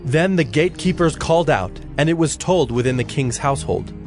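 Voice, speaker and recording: A man narrating a dramatized scripture reading in a measured, solemn manner. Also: on the high side, animated. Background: soft background music.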